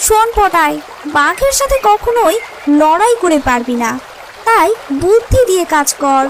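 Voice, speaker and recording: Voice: very high-pitched, sing-song, normal speed, fairly bright tone, faint music behind, heavily acted. A performer voicing a shrewd goat friend in a cartoon, handing out advice in a knowing way. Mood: knowing, advising. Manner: knowing, advising. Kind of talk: cartoon voice acting.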